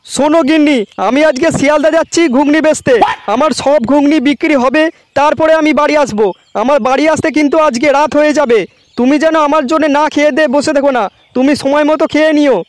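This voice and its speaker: A man voicing a quick-tempered village snack seller in a comedy cartoon. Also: steady tone. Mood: earnest. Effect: pitch-shifted cartoon voice.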